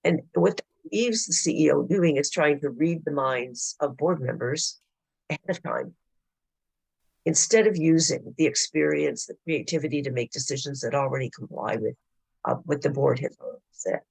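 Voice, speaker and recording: A hoarse man with a gravelly, husky voice talks a board through governance principles. He explains in a halting way, pausing often as he builds his points. The sound is close and dry, with no room echo. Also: fairly high pitch. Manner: explanatory, halting with frequent hesitations. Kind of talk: formal presentation at a board meeting. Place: public board meeting room.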